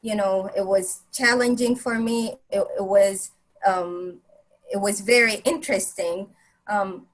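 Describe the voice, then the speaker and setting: A woman whose first language is Arabic speaks in a relaxed group discussion. She often stops and restarts, dropping fillers between her thoughts. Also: medium pitch, rough recording. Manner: halting, many restarts and fillers. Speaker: presenter on language barriers.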